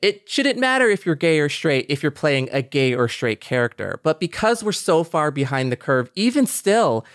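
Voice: medium pitch, very animated, normal speed, hardly any pauses, dry recording, clean studio sound. A person in a casual podcast chat, speaking earnestly and feeling their way through sentences with frequent "like".

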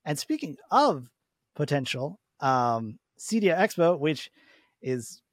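A man talking in a relaxed, conversational way as a panelist in a casual podcast discussion. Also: a little high, takes it slowly, dry recording, clean studio sound.

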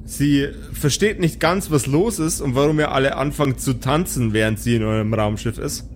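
A man's voice: medium pitch, moderate pace, a little hiss or hum. A man narrating an improvised tabletop audio play as game master.

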